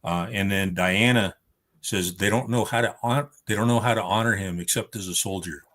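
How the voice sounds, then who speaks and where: A man with a fairly low voice chats casually on a panel. He speaks in a measured, reflective way, as if thinking through each opinion. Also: a bit bright. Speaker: roundtable panelist. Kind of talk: casual chat about films.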